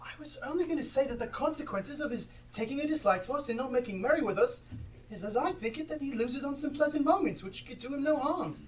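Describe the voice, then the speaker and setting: A woman on stage playing a cheerful, forgiving young gentleman in a live amateur play, picked up by a distant mic. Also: sits high, expressive, fast talker, a little hiss or hum. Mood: earnest, warm.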